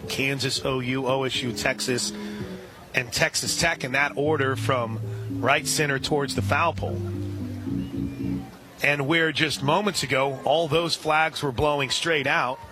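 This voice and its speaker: A man doing live radio play-by-play of a softball game, relaxed and chatty, lifting his voice on big plays. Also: mid-range voice, measured pace, long pauses, music in the background, low-quality recording.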